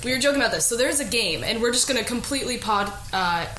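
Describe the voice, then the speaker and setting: Bright, higher-voiced young woman, echoey podcast room. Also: lively intonation, fast. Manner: chatty, playful banter. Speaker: podcast co-host. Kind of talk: casual group podcast chat. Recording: echoey room with light noise.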